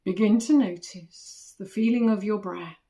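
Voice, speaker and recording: Slow, gravelly woman guiding meditation. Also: medium pitch. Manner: soothing, gentle, with long pauses between short phrases. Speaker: meditation teacher. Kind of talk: guided body scan meditation.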